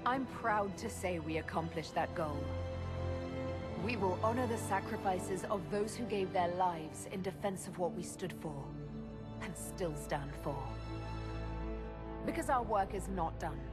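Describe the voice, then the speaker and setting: A woman's voice: lowish voice, a little slow, over loud music, close to an everyday voice. An actress playing a principled, earnest woman commander in a fantasy game, speaking firmly. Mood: rallying, resolute. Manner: firm, declarative. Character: resolute female military leader, principled and earnest. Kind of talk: dramatic video game dialogue. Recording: loud game score under the voice.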